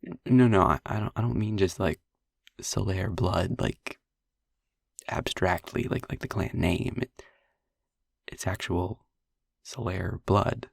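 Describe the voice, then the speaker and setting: Guy doing a gentle vampire boyfriend roleplay, slow n hesitant, gravelly low voice, close up and intimate. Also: no echo, exaggerated voice. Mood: earnest, explaining. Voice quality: husky, breathy, gravelly low voice. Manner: tender, hesitant, slow with pauses. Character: gentle, wistful male vampire boyfriend, youthful, haunted by past. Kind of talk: intimate audio roleplay addressed to listener. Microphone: close, dry microphone.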